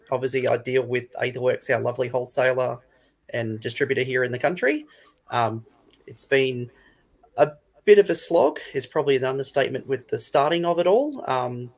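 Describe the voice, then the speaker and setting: A man with an Australian accent talking informally as a retailer guest in a casual interview, chatty and repeating his phrasing. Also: mid-range voice.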